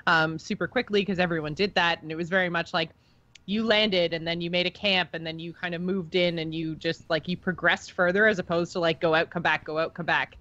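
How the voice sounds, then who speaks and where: A woman's voice: fairly low pitch, steady tone, fairly quick, a bit bright, dry recording. A woman co-hosting a podcast, chatting informally as a fan about a video game, on a slightly dull recording. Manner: informal, conversational. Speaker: podcast co-host.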